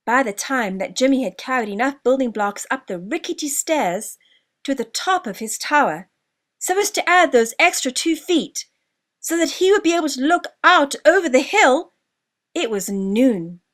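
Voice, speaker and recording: Female children's story narrator, high voice, very lively intonation, deliberate emphasis.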